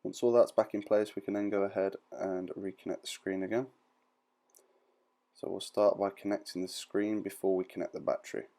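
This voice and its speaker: A man's voice: low-pitched, flat delivery, normal speed, warm tone. A man giving an informal step-by-step tutorial voice-over in a plain-spoken, reassuring, explanatory manner. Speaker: repair tutorial presenter.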